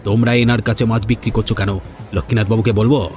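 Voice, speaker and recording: A man's voice: on the low side, flat delivery, quick pace, darkish tone, faint music behind, lightly acted. A man playing a village boss's tattling henchman in a cartoon folk tale, sounding officious and aggrieved. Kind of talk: Bengali animated folk-tale dialogue.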